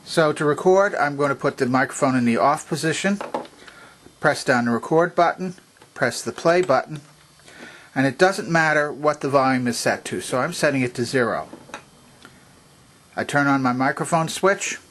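A man with a medium-pitched voice walks through a piece of vintage electronics in a hands-on demonstration. He is methodical and names each part and step clearly. He sounds like someone showing an item at a home workbench. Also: expressive, moderate pace, fairly bright tone, slight background noise.

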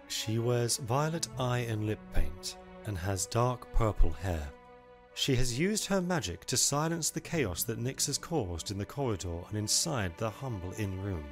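A man's voice: fairly low pitch, expressive, a little slow, music in the background. A man giving scripted narration for a visual novel story.

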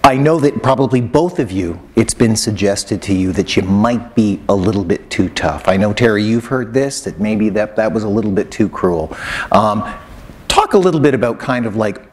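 Low-voiced halting stage moderator. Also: male voice, lively intonation. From Canada. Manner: frequent murmured backchannel, halting questions. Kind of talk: live stage interview. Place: stage in a public library hall.

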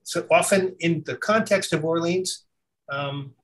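A man's voice: fairly high pitch, a bit bright. Male musician guest, off-mic. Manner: halting, self-correcting storyteller. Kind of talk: casual interview chat. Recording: distant from the mic.

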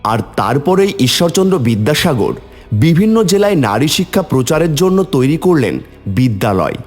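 A man's voice: fairly high pitch, very animated, normal speed, darkish tone, faint music behind. A man narrating a scripted story in a dramatic storytelling style.

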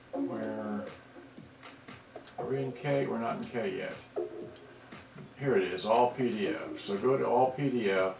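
A man's voice: on the low side, creaky, noisy background. A man giving a casual, off-the-cuff show-and-tell, rambling and muttering to himself as he goes. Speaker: vintage electronics hobbyist presenter. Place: indoors in a room at a computer screen. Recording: roomy echo, distant mic, music behind.

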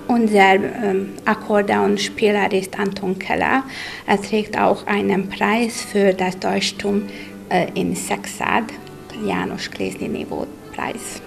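A woman in her sixties interviewed indoors, speaking slowly and carefully with a few filler sounds. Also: mid-range voice, vocal fry. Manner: slow, careful, some fillers. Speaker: choir member. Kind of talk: interview answers. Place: indoors in a room with bookshelves. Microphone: handheld reporter mic.

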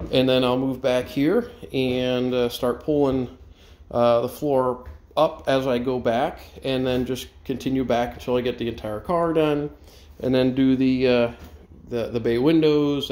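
A man giving a casual walkthrough of a renovation project, thinking aloud in a halting way as he films with a handheld camera. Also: mid-range voice, a little mellow. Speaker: railroad volunteer presenting a renovation project. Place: inside a metal railroad caboose. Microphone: handheld camera, held by speaker. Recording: clearly noisy, ambient sound inside railcar.

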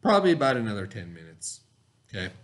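A middle-aged American man, an elementary teacher, reading a lesson aloud to children in an expressive, instructional manner. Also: fairly low pitch. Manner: expressive, instructional, pauses to ask the listener questions. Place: small indoor classroom, at a desk.

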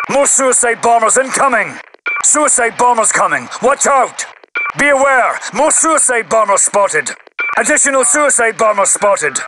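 Higher-pitched military commander on radio. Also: male voice, low-quality recording. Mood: urgent. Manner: clipped, commanding. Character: stern British military commander giving mission orders over radio. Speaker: mission control commander. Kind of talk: scripted video game military radio dialogue.

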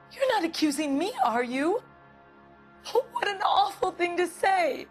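A prim, middle-aged American wife in a detective game drama. She is anxious and guarded, easily affronted when questioned. Music plays clearly behind her. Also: very high voice, sing-song, slow, exaggerated voice. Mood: indignant. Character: prim, anxious, indignant wife of a missing man. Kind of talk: dramatic game cutscene acting.

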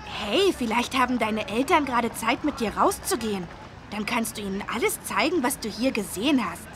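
A woman's voice: a little high, animated, moderate pace, noisy background, lightly acted. A woman voicing a cheerful, curious little boy who eagerly explains things in a children's game. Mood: eager, friendly. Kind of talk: children's educational game voice acting.

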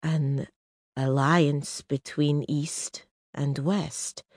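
A woman voicing a scheming, blunt foreign prince in an audiobook, speaking slowly and calculatingly. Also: low voice, no echo, clean studio sound. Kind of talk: audiobook character reading.

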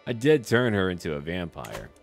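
Low-voiced man commentating a strategy game. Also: very animated, normal speed, gravelly. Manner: muses aloud in short clipped remarks. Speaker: gaming YouTuber. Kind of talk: casual game commentary with light role-play. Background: background music.

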